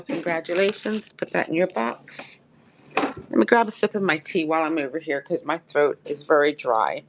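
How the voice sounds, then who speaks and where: A woman hosting a live online auction of pottery, chatty and upbeat, her throat dry and crackly. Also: on the low side. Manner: chatty, upbeat, addresses viewers by name. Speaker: live-stream seller. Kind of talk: live auction selling chat.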